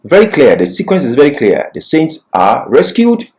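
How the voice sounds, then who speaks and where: A man's voice: a little high, huge pitch swings, normal speed, a little mellow. A man giving an expository Bible lecture as a teacher, repeating key phrases for emphasis.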